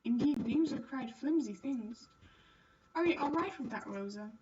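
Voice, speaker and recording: A woman's voice: high voice, animated, normal speed, darkish tone, distant mic, muffled recording. A woman voicing a courteous, reassuring nobleman in a visual novel. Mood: gentle, gallant. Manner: gallant, reassuring. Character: courteous, kindly nobleman, a French Marquise. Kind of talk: visual novel character reading.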